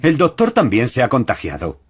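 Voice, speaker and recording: Friendly storyteller for small children, mid-pitched, Castilian accent, animated delivery over prominent music. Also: male voice, brisk. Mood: cheerful narrating. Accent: Castilian Spanish. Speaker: narrator. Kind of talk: scripted preschool cartoon narration.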